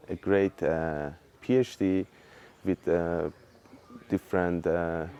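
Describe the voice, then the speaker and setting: A man, a PhD student giving a halting self-introduction full of pauses and fillers. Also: deep voice, mellow. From Iran. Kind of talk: short self-introduction to camera. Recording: slightly dull lo-fi recording.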